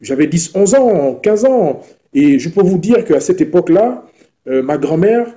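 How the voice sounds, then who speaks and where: A man in his thirties from Gabon answers interview questions. His voice is very dark and mellow. He speaks in a measured way and often pauses to hesitate. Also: on the high side, big pitch swings. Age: 30s. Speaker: entrepreneur guest.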